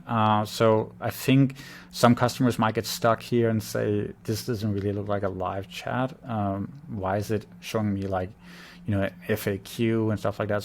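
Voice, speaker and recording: A man with a low voice and a lot of creak talks through a website review off the cuff. He is blunt and opinionated, like a consultant explaining what works and what fails, with noticeable noise behind him. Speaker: conversion-rate marketing consultant. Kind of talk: unscripted screen-share website critique.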